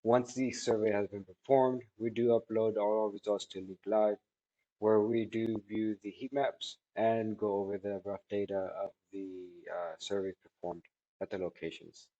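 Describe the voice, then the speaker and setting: A man in his thirties giving a spoken product testimonial in a halting, measured way, with drawn-out words. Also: on the low side, little pitch movement, warm tone, lo-fi sound. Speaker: technology product reviewer. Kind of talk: spoken testimonial answering questions. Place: small indoor office room by a large window.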